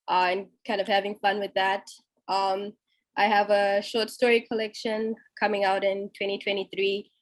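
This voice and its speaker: A woman's voice: medium pitch, no echo. A young woman, speaking conversationally as a writer on an online panel. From Zimbabwe. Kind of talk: conversational self-introduction on a panel. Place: online video call.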